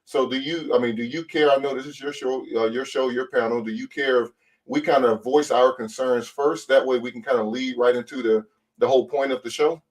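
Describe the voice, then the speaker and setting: A man's voice: mid-range voice, steady tone, fairly quick, distant mic. A man in his late twenties, a panel guest who speaks politely and earnestly, asking questions of the others. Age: 20s. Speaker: panel guest, channel host. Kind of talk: casual panel discussion.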